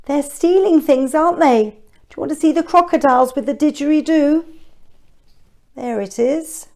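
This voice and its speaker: A woman's voice: high-pitched, moderate pace, darkish tone. A woman reading a children's story aloud expressively, with chatty questions and asides for young listeners.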